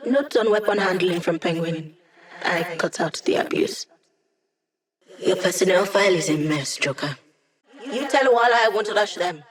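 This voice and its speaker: A woman's voice: fairly low pitch, animated, normal speed, lightly acted. A woman playing a wry, sarcastic hacker. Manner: dry, sarcastic quips. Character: wry young female hacker support ally. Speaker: video game character. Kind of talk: video game voice lines.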